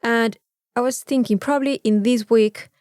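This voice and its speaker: A woman's voice: on the high side, fairly even intonation, normal speed, a little mellow, no room sound, clean studio sound. A woman with a Mexican accent, a native Spanish speaker, talking candidly and casually as a podcast co-host and repeating phrases for emphasis.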